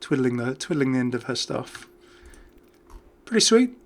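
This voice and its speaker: A man's voice: medium pitch, very animated, moderate pace. A man giving casual, off-the-cuff game commentary as a YouTuber, chatting and thinking aloud as he goes. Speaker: gaming YouTuber.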